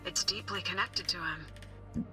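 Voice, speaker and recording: A woman's voice: lowish voice, steady tone, measured pace, natural voice, poor audio. A woman playing a composed, formal guide in a video game, speaking in a precise, businesslike manner. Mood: calm, instructive. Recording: game music behind the voice.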